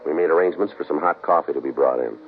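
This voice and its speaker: A no-nonsense detective, very deep, quick and terse, thin 1950s radio sound. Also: male voice. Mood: clipped. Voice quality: terse, flat, matter-of-fact. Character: clipped, terse male police detective. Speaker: police detective and narrator. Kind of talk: 1950s radio crime drama narration. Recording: tinny old radio broadcast, faint hiss.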